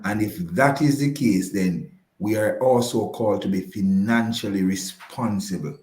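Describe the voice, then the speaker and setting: Male advisor guest, Jamaican accent, very low pitch, halting explanatory delivery with repeated phrases, noticeably reverberant room sound. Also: darkish tone. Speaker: financial advisor, interview guest. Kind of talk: explanatory interview answers. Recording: roomy, echoing voice pickup.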